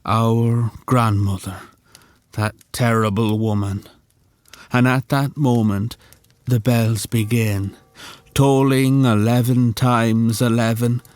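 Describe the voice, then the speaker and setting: A man reads a horror story in the first person. His medium-pitched voice is unhurried and sombre. The sound is dry, and each phrase is placed deliberately. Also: steady tone, darkish tone, no echo.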